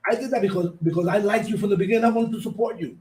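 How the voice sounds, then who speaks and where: A man's voice: sits high, fairly even intonation, racing, a little mellow. Chatty Puerto Rican man hosting radio. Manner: chatty, repeats words, mixes in Spanish. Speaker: radio talk show host. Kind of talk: casual interview chat.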